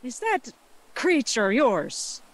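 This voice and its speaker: A woman's voice: high-pitched, sing-song, drawn-out words, full character voice. An actress playing a bossy, fussing older aunt in an audio drama, scolding yet motherly, with rustic phrasing. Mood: stern.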